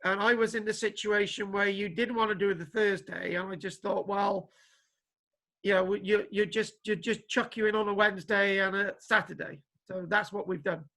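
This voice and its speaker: A man lecturer from Wales talking casually to his online class, halting with many restarts and fillers. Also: sits high, steady tone, creaky, bright, forceful tone. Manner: halting, full of restarts and ums. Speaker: archaeology lecturer. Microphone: video call. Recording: spotty video call connection.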